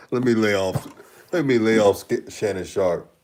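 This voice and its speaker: A man's voice: lowish voice, a little mellow. A man from Houston with a slight Texan accent, hosting a live stream, chatting loosely and laughing often while reading comments. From Houston, Texas. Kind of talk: casual live-stream talk, reading the chat.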